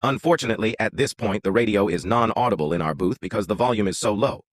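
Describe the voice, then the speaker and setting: Man, low pitch, story narrator, scripted read, very dry close sound. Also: quick pace, no room sound.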